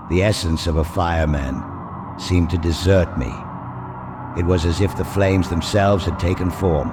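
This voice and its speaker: An actor playing a grave, shaken fireman telling his ghost tale in a theatrical audio drama, with deliberate pauses. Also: low-pitched, a little mellow. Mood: awed dread. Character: haunted veteran fireman, solemn, shaken by a spectre. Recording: long hall reverb under music.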